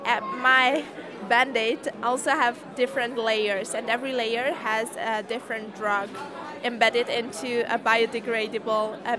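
Teen girl amid crowd chatter. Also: on the high side. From Hungary. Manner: hesitant, many fillers and restarts. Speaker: student presenting a science project. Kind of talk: casual interview. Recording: crowd noise behind.

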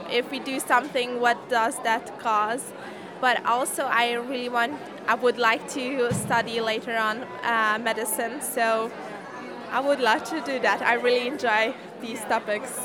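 Teen girl amid crowd chatter. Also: fairly high pitch. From Hungary. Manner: hesitant, many fillers and restarts. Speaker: student presenting a science project. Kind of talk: casual interview. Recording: crowd noise behind.

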